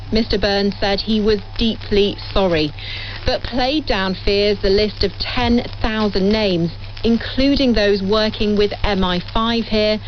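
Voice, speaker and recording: Woman reporter, dull radio sound. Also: mid-range voice. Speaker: news reporter. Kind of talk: scripted news report. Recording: muffled, lightly noisy shortwave radio relay.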